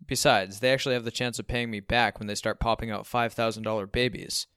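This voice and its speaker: Male reader as stern businessman dad, calm, unemotional, dry, dismissive, clear tone, audiobook dialogue. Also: fairly low pitch, fast, no echo, natural voice. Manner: calm, dry, matter-of-fact.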